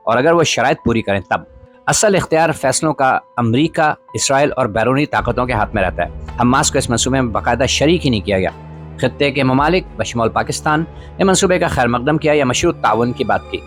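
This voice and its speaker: A man narrating scripted political commentary as a podcast host, in a formal, literary manner with rhetorical emphasis. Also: medium pitch. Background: background music.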